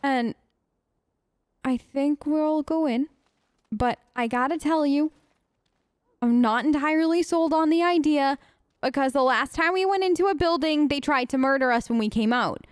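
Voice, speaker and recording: Female let's play host, high register, dry close mic, casual and playful, expressive commentary to herself and the game's characters. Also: moderate pace, pauses often, no echo. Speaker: gaming video host. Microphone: close dry voice-over microphone.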